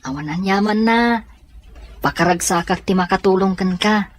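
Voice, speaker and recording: A kind adviser voiced by a woman with a medium-pitched voice and an Ilocano Filipino accent. She gives encouragement in audio drama dialogue. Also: moderate pace, close to an everyday voice. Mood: encouraging, kind.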